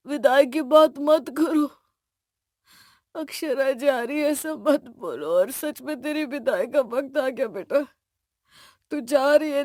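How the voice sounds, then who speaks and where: A woman's voice: a little high, warm tone, no room sound, studio quality, close to an everyday voice. A woman voicing a sentimental, pleading middle-aged father of a bride in an audio drama.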